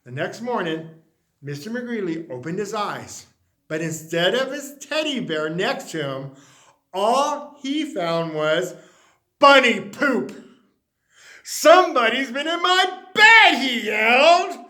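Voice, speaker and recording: A man reading a children's picture book aloud, expressive and dropping to a hush on the refrains. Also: high voice, slow pace. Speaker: storyteller.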